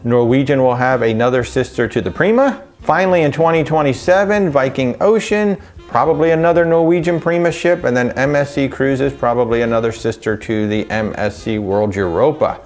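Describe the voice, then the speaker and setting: A middle-aged man talks straight to the camera from a home office and runs quickly through a long list. He breaks it up with wry asides. A faint music bed plays underneath his mid-pitched voice. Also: darkish tone. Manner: brisk listing with wry asides. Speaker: travel vlogger. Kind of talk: casual rundown to camera.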